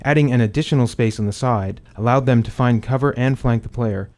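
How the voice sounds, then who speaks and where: Male developer, rapid delivery, average pitch, explaining design from a script. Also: a little mellow. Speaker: game developer.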